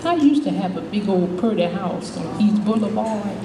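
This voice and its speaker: A woman's voice: mid-range voice, lively intonation, normal speed, lightly acted. A woman playing a chatty, good-humored older homeless widow, telling her story in a folksy, rambling stage monologue. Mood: wistful, resigned. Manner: folksy, rambling, repeats "you know", chuckling. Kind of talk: dramatic monologue, storytelling to a live audience. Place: indoor stage performance, distant microphone. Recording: echoey hall from far off, faint noise.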